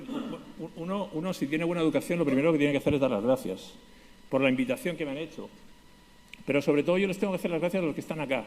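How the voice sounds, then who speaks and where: Fast-talking Spanish man in an echoey hall. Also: fairly high pitch, darkish tone. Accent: Spanish from Spain. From Spain, Basque Country. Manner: ironic, self-deprecating humor. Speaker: conference speaker. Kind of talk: conference keynote talk. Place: large conference hall.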